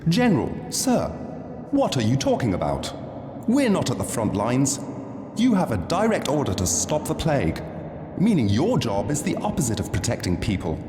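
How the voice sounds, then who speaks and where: A man's voice: medium pitch, huge pitch swings, normal speed, a little mellow, slight background noise. A man playing an insistent, argumentative figure in a theatrical drama, speaking expressively. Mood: challenging, wry. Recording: echoey hall, long reverb, faint music.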